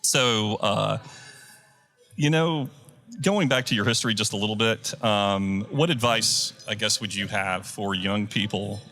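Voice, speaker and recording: A man's voice: on the low side, unhurried, pushed and bright. A man hosting a radio interview with a classic radio voice, joking and playful in casual chat. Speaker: radio show host.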